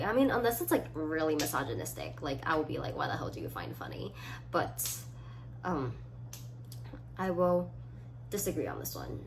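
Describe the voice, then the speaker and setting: A young woman around twenty with a fairly low voice that rises and falls freely. She talks casually and reflectively, as a vlogger alone with her thoughts, in short halting answers. There is some faint noise in the recording. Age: about 20. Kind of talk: casual solo chat, reading quiz items aloud and answering. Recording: clearly audible background noise.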